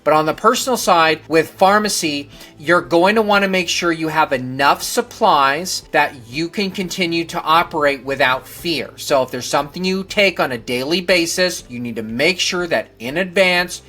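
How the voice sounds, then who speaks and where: A man's voice: on the high side, moderate pace, bright, forceful tone, soft background music. A man presenting instructional advice to viewers in an earnest, emphatic way, repeating key words for stress. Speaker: presenter of a disaster preparedness show.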